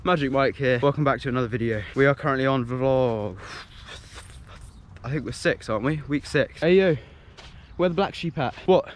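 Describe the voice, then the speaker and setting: A man's voice: mid-range voice, moderate pace, slight background noise. A young man in his twenties, vlogging outdoors in open fields, joking and playful as he talks straight to a handheld camera at arm's length. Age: 20s. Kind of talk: casual vlog chat to camera. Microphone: handheld action camera at arm's length.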